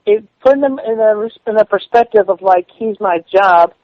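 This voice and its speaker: A man speaking defensively on a phone call, halting and repeating himself. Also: high-pitched, no room sound. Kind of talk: phone conversation, answering challenges. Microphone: telephone call. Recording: dull lo-fi phone call recording.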